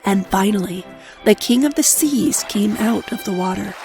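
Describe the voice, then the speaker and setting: A woman's voice: mid-range voice, moderate pace, music in the background. A woman giving a scripted narration of a children's fairy tale.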